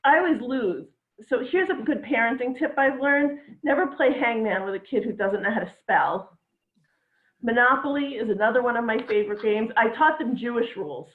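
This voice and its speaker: A woman's voice: a little high, normal speed. Woman doing stand-up over a tinny line. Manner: dry, self-deprecating one-liners. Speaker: comedienne. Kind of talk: stand-up comedy routine. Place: home. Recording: echoey, phone-like home recording.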